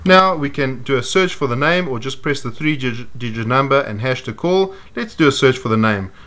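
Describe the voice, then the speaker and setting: A man giving a measured, step-by-step walkthrough of programming a device, voiced over close-up footage. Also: medium pitch, expressive, pushed and bright. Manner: measured, methodical explaining. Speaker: product demonstrator. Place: indoors at a table.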